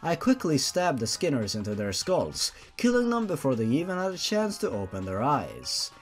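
A man's voice: on the high side, huge pitch swings, normal speed. A man reading a horror fan fiction story aloud with theatrical, exaggerated emphasis. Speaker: narrator. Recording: music behind the voice. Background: music.

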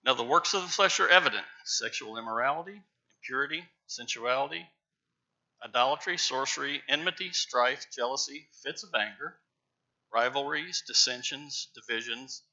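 Bright-voiced man preaching a sermon. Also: mid-range voice, moderate pace. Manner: emphatic, stresses words with rising loudness. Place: large church sanctuary with pews. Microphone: lapel mic, far camera. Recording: dull church livestream audio.

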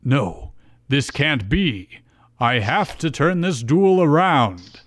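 A man's voice: a little high, very animated, takes it slowly, no room sound, clean studio sound, full character voice. A man voicing an earnest, bewildered teenage boy hero from a card-game cartoon. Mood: determined.

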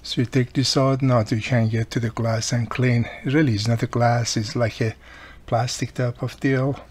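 A man's voice: medium pitch, flat delivery, moderate pace, breathy edge. A man at a workbench patiently explaining a repair step by step, with slightly non-native phrasing, recorded by an overhead camera mic. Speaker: radio repair technician. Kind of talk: hands-on repair tutorial. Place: workbench, indoors.

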